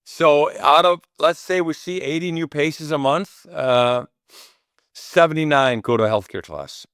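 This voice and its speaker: A man's voice: a little high, a little slow, a bit bright, clear, resonant tone, dry recording, studio quality. A man from Iceland with an Icelandic accent, answering interview questions in a casual, rambling way and often voicing quoted remarks. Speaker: interview guest, chiropractic clinic owner.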